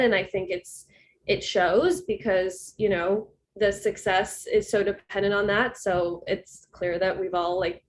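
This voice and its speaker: Female music producer, panelist on a video call; earnest, rambling, acts out quotes; faint noise. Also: mid-range voice.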